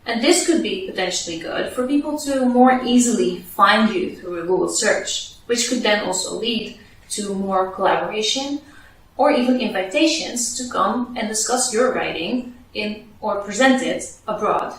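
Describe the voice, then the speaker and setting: A woman's voice: medium pitch, expressive, normal speed. Lady explaining tips for researchers, super echoey room, mic kinda far off. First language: Dutch. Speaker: podcast host giving advice to researchers. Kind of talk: scripted advice video.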